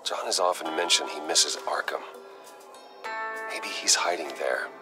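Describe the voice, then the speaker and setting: An actor playing a brooding, determined American vigilante in a video game, speaking tersely and gravely. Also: male voice, a bass voice, measured pace. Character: brooding, determined masked vigilante, a grown man. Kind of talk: dramatic video game acting. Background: faint background music.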